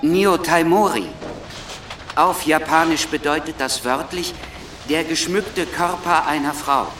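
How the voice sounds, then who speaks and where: A male actor reciting slowly and formally in a radio drama, in a distant echoing recording with noise. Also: a little high, music in the background, lightly acted. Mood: solemn. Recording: echoey, distant, noisy ambience.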